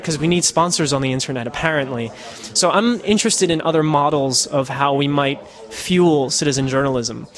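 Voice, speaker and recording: Thoughtful podcaster man, slightly high voice. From United States. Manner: casual, thoughtful, frequent fillers and restarts. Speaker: podcaster and citizen journalist. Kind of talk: interview answers. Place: Netherlands.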